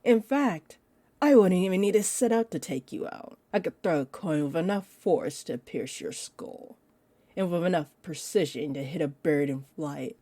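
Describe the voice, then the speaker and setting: A woman's voice: low voice, expressive, mellow, no room sound. An actress playing a teasing, possessive female vampire in an audio roleplay, condescending and confident, speaking straight to the listener. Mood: menacing, condescending. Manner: smug, taunting, unhurried.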